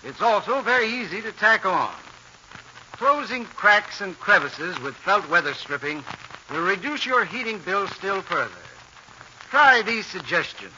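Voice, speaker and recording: A high, very bright male voice read by a man delivers earnest household advice in American 1940s radio-ad style. He is formal, measured and emphatic. The recording is muffled and lightly hissy. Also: exaggerated voice. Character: earnest male heating expert advising householders. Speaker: heating expert giving sponsor's advice. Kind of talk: 1940s radio advertising, scripted.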